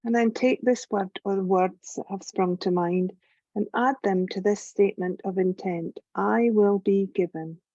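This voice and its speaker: A woman leading a guided yoga nidra meditation in a gentle, calm, measured voice with long pauses. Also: medium pitch, dry recording, lo-fi sound. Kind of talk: slow guided relaxation meditation narration.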